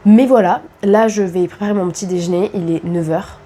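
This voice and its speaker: A woman's voice: medium pitch, steady tone, fairly quick, dark tone. A young woman with a cold, chatting casually to camera about her reading, with self-deprecating asides. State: congested with a cold. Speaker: book vlogger. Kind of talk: casual vlog chat.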